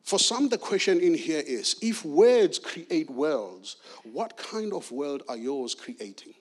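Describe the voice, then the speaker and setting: A man's voice: fairly high pitch, big pitch swings, slow pace. A middle-aged man from South Africa preaching a sermon with emphatic, repeated key phrases.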